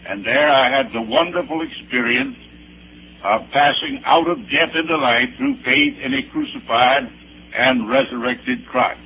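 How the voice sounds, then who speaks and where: An elderly American man, a pastor giving personal testimony in a deliberate, halting, emphatic way with a creaky voice. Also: mid-range voice, very animated, pushed and bright. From Arkansas, United States. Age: 70s or older. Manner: deliberate, halting, with emphatic spelled-out dates and places. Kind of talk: personal testimony with anecdotes, preaching delivery. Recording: dull old recording with faint noise.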